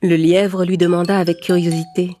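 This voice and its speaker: A woman's voice: lowish voice, level tone, normal speed, warm tone, no echo. A woman narrating a scripted fable for children as a storyteller. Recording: clear music bed behind narration.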